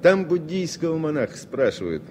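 Lively, wry flight engineer. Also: male voice, a little high, normal speed, slight background noise. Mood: wry, musing. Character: wry male airline crewman, a flight engineer. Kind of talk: radio play acting, 1970 Soviet radio drama.

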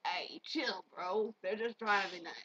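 A young woman voicing a mellow, sweary, dopey male troll stoner with laid-back slangy talk. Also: medium pitch, normal speed, husky. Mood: chilled, affectionate. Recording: dull lo-fi webcam audio.

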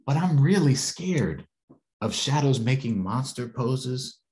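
A man's voice: medium pitch, animated, takes it slowly, darkish tone, slight background noise, pitched above the performer's own voice. An American man voicing a scared, fretful little girl from a picture book, with worried, swooping exclamations. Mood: frightened.

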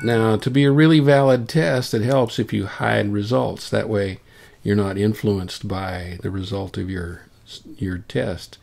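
Low-pitched, slow man with a gravelly edge. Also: fairly even intonation, darkish tone. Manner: informal, thinking aloud as he demonstrates. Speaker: software tutorial presenter. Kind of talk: casual screencast demonstration. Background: solo acoustic guitar clips playing.

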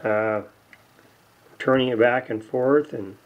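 A man giving unscripted, casual narration of hands-on repair work, halting and muttering to himself as he goes. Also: medium pitch, steady tone, narrow, tinny audio. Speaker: hobbyist radio repairer, video host. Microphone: camera mic near the workbench.

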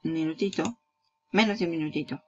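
A woman's voice: low voice, brisk, lo-fi sound, slight background noise. A woman giving a casual, chatty walkthrough of a product page, talking straight to the viewer and laughing at herself. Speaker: marketing YouTuber.

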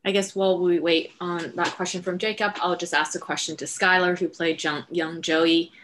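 A woman's voice: lowish voice, fairly even intonation, moderate pace, a bit bright, a little hiss or hum. A middle-aged woman speaking casually and unscripted as a writer-director hosting a question and answer session on a video call. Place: indoor room at home, on a video call. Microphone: headset on a video call.

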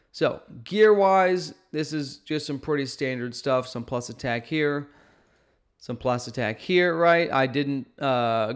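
A man in his thirties hosts a gaming video with a clean, resonant voice at a middle pitch. He talks casually through strategy, stressing points emphatically and often checking in with a quick "okay" or "right.". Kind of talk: casual game strategy commentary. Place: indoor room at home.

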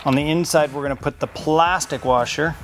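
A man in his forties in a garage workshop, explaining a rooftop tent install step by step in a relaxed, practical way. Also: fairly high pitch, a little fast, background noise. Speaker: off-road shop installer. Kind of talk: how-to install demonstration.

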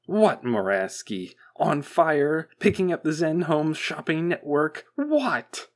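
A man's voice: fairly high pitch, wildly swooping pitch, slow pace, fairly bright tone, husky, pitched above the performer's own voice, exaggerated voice. Story professor, male reader: harried, short-tempered, biting sarcasm, exasperated delivery.

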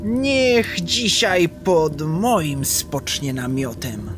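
A man's voice: a little high, expressive, very slow, music in the background. A man playing a domineering, threatening warlord in a comic-book radio play. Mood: commanding.

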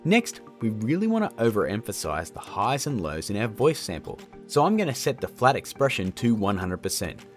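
Lively man presenting a tutorial. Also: lowish voice, normal speed, hardly any pauses. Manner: informal, addresses viewers as guys. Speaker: host of audio effect tutorials. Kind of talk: casual tutorial narration. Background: background music.

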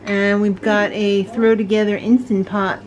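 A woman's voice: mid-range voice, level tone, unhurried. A middle-aged woman chatting casually at home, recorded on a handheld camera. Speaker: vlogger's mother. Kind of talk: casual family chat. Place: home kitchen, indoors. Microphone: handheld camera at a short distance. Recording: noisy home camera audio.

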